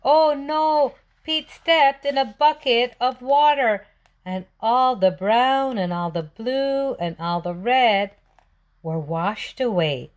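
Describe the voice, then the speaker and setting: A woman's voice: fairly high pitch, slow. A woman reading a storybook aloud for young children, expressively asking questions and answering them. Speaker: children's read-aloud presenter.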